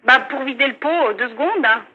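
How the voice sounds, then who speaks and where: A woman's voice: high-pitched, brisk, heavy background noise. A woman on a phone call, answering earnestly and compliantly in short, simple replies. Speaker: prank call target. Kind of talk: unscripted phone conversation. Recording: dry, dull telephone line.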